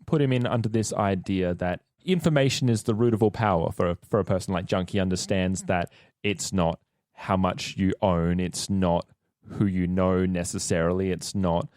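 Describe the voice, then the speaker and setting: A man's voice: sits low, steady tone, moderate pace, darkish tone, no room sound. An Australian man chatting casually on a tabletop gaming podcast, explaining things earnestly as one of the players. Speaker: actor and player on a tabletop role-playing podcast. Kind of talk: casual chat.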